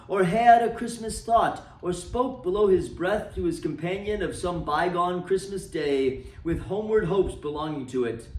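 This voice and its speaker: A man reading a classic novel aloud for a library, dramatic and expressive, letting his voice sink low and soft at the ends of phrases. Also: reverberant, far from the mic, low-quality recording.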